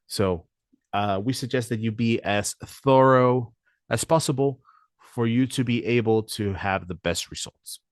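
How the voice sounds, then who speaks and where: A man presenting a software demo walkthrough in a webinar, talking hesitantly with frequent "uh" fillers. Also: medium pitch, measured pace, dry recording, clean studio sound.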